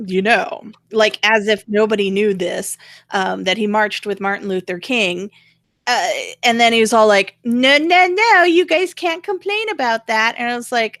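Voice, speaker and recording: A woman's voice: on the high side, fairly bright tone, no room sound, clean studio sound. A woman host chatting casually about politics in a blunt, sarcastic, mildly profane way. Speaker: talk show host.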